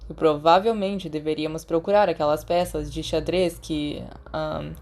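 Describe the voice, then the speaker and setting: A woman as a thoughtful teenage wizard boy, mellow. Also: a little high, steady tone, moderate pace, natural voice. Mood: thoughtful, cautious. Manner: thoughtful, hesitant, gently grumbling. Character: teenage wizard schoolboy, cautious, sleepy, mildly grumbling friend.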